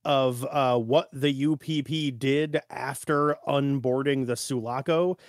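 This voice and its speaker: A man's voice: medium pitch, fairly even intonation, slow pace, no room sound, clean studio sound. A man co-hosting a podcast in casual discussion, with an informal, slangy way of talking. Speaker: podcast co-host.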